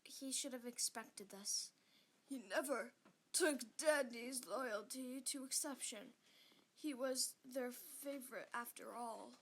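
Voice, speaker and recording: A woman's voice: high-pitched, takes it slowly. A young woman reading scripted narration for an amateur comic dub. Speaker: amateur voice-over reader.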